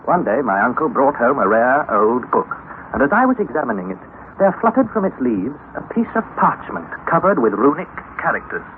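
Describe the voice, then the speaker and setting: A man's voice: mid-range voice, very animated, a little fast, lightly acted. An actor playing an anxious young student who recounts his expedition in earnest, formal, dramatic narration for a radio play. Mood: grave, recounting. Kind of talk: dramatized radio narration of a classic adventure novel. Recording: noisy, narrow-band old radio recording.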